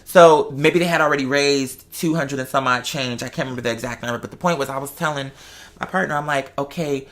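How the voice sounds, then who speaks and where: Bright-voiced American host, chatty and emphatic. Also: mid-range voice, brisk. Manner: animated, emphatic, rambling with tangents. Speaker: host of an online talk show. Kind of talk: casual conversational storytelling.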